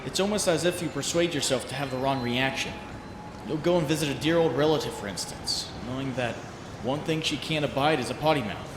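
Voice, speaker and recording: A man's voice: medium pitch, fairly quick, close to an everyday voice. A man voicing a troubled, sardonic young superhero in a comic dub, recorded in a roomy, noisy space. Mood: anxious musing. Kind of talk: comic dub acting with introspective narration.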